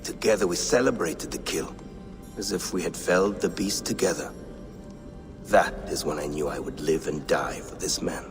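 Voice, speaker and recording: Male actor as solemn Viking warrior, low slow voice, proud grief, video game, cavernous reverb. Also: natural voice. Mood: solemn reminiscence. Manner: solemn, storytelling, weighty pauses. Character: grieving, proud aging Viking warrior, loyal to his fallen king. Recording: long cavern-like reverb, faint music behind.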